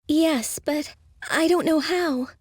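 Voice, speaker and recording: An actress playing a gentle, unsure young princess in a video game, soft-spoken and earnest. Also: female voice, high voice, slow, no echo. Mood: uncertain. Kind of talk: English video game dub acting.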